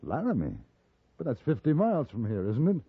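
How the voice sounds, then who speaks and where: An actor playing a wry, polite English newspaper correspondent in a radio Western, with an English accent and an understated manner. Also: male voice, mid-range voice, wildly swooping pitch, a little fast, breathy edge, dry recording, full character voice. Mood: mild surprise. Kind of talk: 1950s radio drama acting and narration. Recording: tinny, narrow 1950s radio recording.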